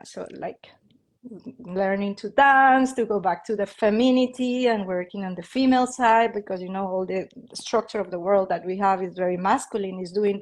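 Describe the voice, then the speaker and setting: A woman's voice: mid-range voice, a little mellow. Chatty Colombian woman in her fifties. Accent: Colombian Spanish. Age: 50s. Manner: rambling, with frequent "like" fillers and light laughs. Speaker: interview guest, feng shui and Reiki practitioner. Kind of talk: casual interview storytelling.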